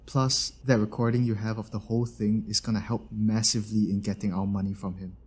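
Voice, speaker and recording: A man's voice: on the low side, level tone, brisk, darkish tone, slightly rough. A man playing a kindly, protective engineer and inventor in an audio roleplay, talking in a casual, reassuring way.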